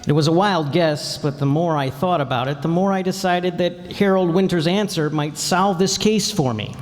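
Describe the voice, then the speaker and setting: Actor as wry young investigator: blunt, high-pitched, old-time radio style, live reverberant hall. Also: male voice, normal speed, lightly acted. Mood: reflective narration. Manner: pushy, sardonic, direct. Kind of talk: old-time radio detective drama and narration. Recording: echoey hall stage sound.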